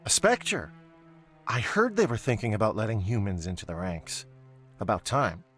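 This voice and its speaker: A man's voice: lowish voice, wildly swooping pitch, moderate pace, fairly bright tone, soft background music. A man playing a worried, plain-spoken civilian in a video game, talking earnestly in an everyday manner. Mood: worried, hopeful. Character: worried working man seeking his missing brother.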